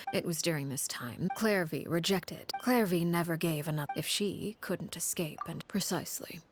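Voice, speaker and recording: A woman playing a cold, composed, menacing harbinger in a video game, telling things in a measured, formal, unemotional way. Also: lowish voice, slight background noise, natural voice. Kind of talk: dramatic storytelling in a video game.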